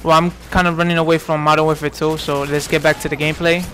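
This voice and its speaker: A man's voice: fairly high pitch, flat delivery, quick pace, fairly bright tone, a little hiss or hum. A man in his twenties from New York giving casual, rambling commentary over gameplay, full of fillers and restarts. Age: 20s. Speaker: gaming commentator.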